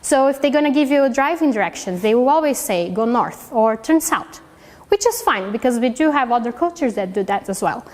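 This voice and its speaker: A woman's voice: a little high, lively intonation, a little fast. Brazilian woman giving a heartfelt speech. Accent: Brazilian Portuguese. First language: Portuguese. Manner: earnest, heartfelt, with small nonnative grammar slips. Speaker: PhD student giving a speech. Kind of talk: prepared public speech. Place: hall with a live audience. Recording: reverberant hall.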